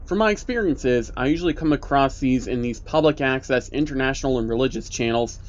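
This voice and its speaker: A man's voice: mid-range voice, fairly quick. A man narrating a scripted story in a casual, sardonic reviewer's manner. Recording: dull lo-fi recording with faint noise.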